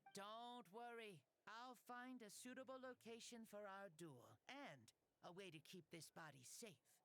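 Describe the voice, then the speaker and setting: A woman's voice: medium pitch, a little slow, fairly bright tone, slight background noise. A woman playing a playful, grandiose ancient warrior hero in a boy's body for a video game, declaiming theatrically. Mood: solemn challenge. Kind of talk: video game character acting. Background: background music.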